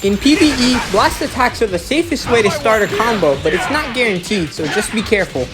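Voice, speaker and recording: A woman's voice: on the high side, lively intonation, brisk. Woman narrating a game guide over music. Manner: instructional, step-by-step phrasing. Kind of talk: instructional gameplay voice-over.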